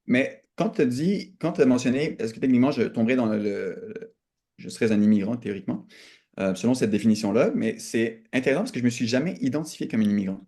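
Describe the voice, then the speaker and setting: Young male podcast guest, Quebec French accent. Soft-edged, warm tone, earnest and reflective conversational delivery. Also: mid-range voice, fast talker. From Canada. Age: young adult.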